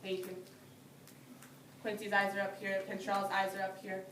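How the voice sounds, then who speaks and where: A woman reading a picture book aloud to young children as their teacher, pausing to explain things in simple phrases. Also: medium pitch, completely flat delivery, fairly bright tone. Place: indoor classroom. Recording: roomy, distant microphone with background noise.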